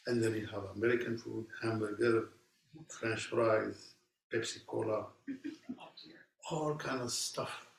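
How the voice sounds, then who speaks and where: A man's voice: fairly low pitch, steady tone, a little slow, gravelly, pauses often, a little hiss or hum. A man from Morocco, speaking as guest teacher to a class in simple, storytelling phrases. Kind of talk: informal talk to a class. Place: meditation hall. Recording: echoey hall, mic far off.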